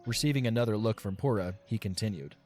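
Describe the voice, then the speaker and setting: A man's voice: fairly low pitch, quick pace, darkish tone, soft background music. A man reading scripted story narration as the narrator of an audio drama.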